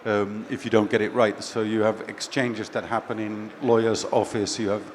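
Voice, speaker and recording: A man's voice: on the low side, moderate pace, slight background noise. A middle-aged man from Finland with a light Finnish accent, speaking casually as a CEO on a conference panel. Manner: relaxed, conversational, with fillers. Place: expo stage.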